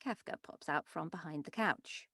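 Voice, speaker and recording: A woman's voice: low-pitched, moderate pace, bright, forceful tone, breathy edge, dry recording. A woman reading an animation script's stage directions as narrator at a table read, close on the mic.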